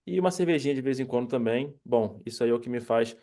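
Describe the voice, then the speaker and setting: A man's voice: mid-range voice, fast talker, dark tone. Laid-back man with a Rio accent. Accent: Rio de Janeiro Brazilian. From Rio de Janeiro, Brazil. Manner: laid-back, joking, informal. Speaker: webinar panelist, company co-founder. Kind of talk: casual self-introduction. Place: online video call.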